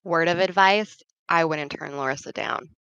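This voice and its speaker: Casting: actress as wry female companion in audio drama, low creaky voice, frank and reflective. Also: moderate pace, sharp tone, dry recording. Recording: dry, slightly dull sound.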